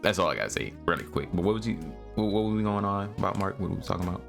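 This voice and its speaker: A man's voice: low-pitched, lively intonation, rapid-fire, vocal fry, over music. A young man co-hosting a podcast, chatting casually in a slangy, rambling way with many restarts. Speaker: podcast co-host. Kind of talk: casual chat.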